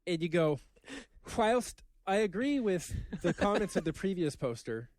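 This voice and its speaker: A man's voice: high-pitched, big pitch swings, measured pace, darkish tone, no room sound. A man co-hosting a podcast in casual chat, rambling and ranting with lots of fillers. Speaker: podcast co-host.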